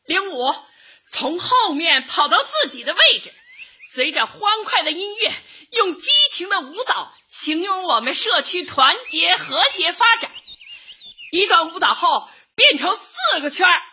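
An actress playing a cheerful, earnest older housewife and community organizer in a light comedy radio drama, chatty and expressive. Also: female voice, very high-pitched, measured pace, dull audio, slight background noise, exaggerated voice. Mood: enthusiastic presenting.

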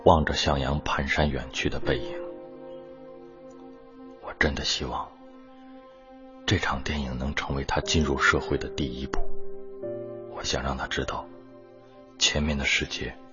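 An actor playing a reflective male teacher, giving an earnest, measured inner monologue in a radio drama. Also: a bass voice, highs cut off. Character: reflective male teacher narrating his hopes in voice-over. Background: music.

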